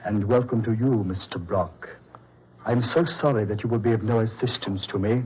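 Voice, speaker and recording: A male actor playing a courteous, sinister mad doctor in old radio horror, silky, condescending and measured. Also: fairly low pitch, steady tone, slight background noise, close to an everyday voice. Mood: smugly courteous. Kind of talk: 1940s radio horror acting. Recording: dull, muffled old radio recording.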